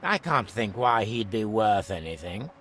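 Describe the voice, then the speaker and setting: An actor playing a pompous, contemptuous prison warden in a video game, with a sneering, florid delivery. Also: male voice, fairly low pitch, sing-song, a little slow, a bit bright, a little hiss or hum. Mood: callous, dismissive.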